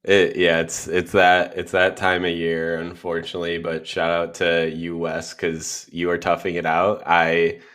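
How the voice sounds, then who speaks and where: An American man co-hosting a casual sports podcast, sounding a little congested, relaxed and laughing easily. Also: sits low, a little slow, hardly any pauses. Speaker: podcast co-host.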